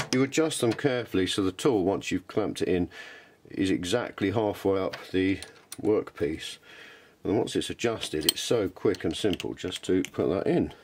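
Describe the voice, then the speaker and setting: Low-voiced British man, chatty workshop demo. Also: a little mellow. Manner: rambling, self-deprecating, chatty. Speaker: hobbyist maker presenting a workshop tutorial. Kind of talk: casual unscripted how-to demonstration. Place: home workshop indoors. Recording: clear background noise and clicks in a workshop.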